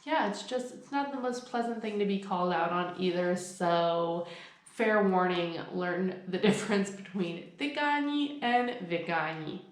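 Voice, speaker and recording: A young woman chats to camera in a friendly, upbeat vlog style and explains her points with examples. Her voice sits in a middle pitch. The sound carries a noticeable room echo. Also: a little slow. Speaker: vlogger. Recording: echoey room.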